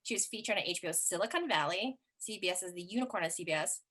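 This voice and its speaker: Bright-voiced woman host introducing acts. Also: lowish voice, lively intonation, quick pace. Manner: upbeat, with fillers. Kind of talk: introducing performers.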